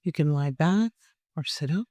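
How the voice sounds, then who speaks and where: A person leading a guided meditation in a gentle, soothing way, with long unhurried pauses. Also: medium pitch, animated, no room sound.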